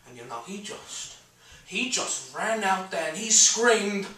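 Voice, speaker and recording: A man's voice: high-pitched, lively intonation, a little slow, sharp tone, full character voice. A man playing a brash, cantankerous middle-aged comedian-painter in a theatrical monologue, stammering and swinging between muttering and bellowing. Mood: nostalgic storytelling. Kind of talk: one-man dramatic monologue on stage. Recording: echoey room, distant microphone.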